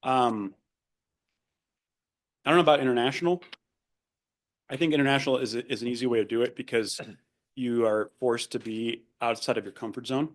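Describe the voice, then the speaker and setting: A man's voice: mid-range voice, normal speed, pauses often. A young man whose first language is Spanish, raised in Argentina, talking thoughtfully as a podcast guest with many fillers and restarts. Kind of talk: casual interview conversation.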